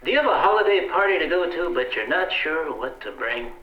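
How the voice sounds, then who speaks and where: A man delivering sales patter as a pitchman in an old-time radio commercial. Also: sing-song, brisk. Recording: echoey, dull, faint noise.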